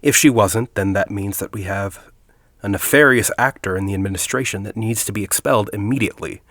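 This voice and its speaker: A man playing a composed, persuasive university headmaster in an audio drama, speaking in a formal, deliberate way. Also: lowish voice, a bit bright, dry recording, studio quality. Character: composed, persuasive university headmaster, grieving a colleague, quietly calculating.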